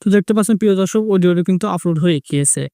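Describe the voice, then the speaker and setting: High-pitched, fast-talking man. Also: warm tone, smooth, no echo, studio quality. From Bangladesh. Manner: repetitive instructional phrasing. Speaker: tech tutorial host. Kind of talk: step-by-step screen tutorial narration.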